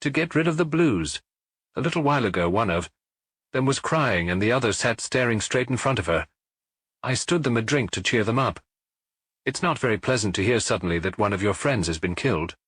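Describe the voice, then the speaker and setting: A man's voice: on the low side, lively intonation, fairly quick, fairly bright tone, slightly rough. A man voicing a chatty, knowing dance-hall proprietor with a familiar, confiding manner in an audiobook. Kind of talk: audiobook character dialogue.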